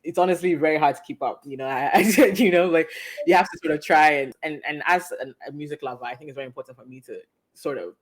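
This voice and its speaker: Podcast host thinking aloud, mid-pitched. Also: fast talker. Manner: thoughtful, many restarts and fillers. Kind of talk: casual podcast interview.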